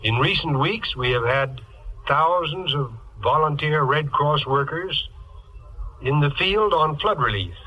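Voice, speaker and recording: Man, formal charity appeal, old radio broadcast, slow and deliberate, raspy edge. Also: fairly high pitch, expressive, lo-fi sound. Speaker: charity official making a public appeal. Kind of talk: formal scripted 1950s radio address.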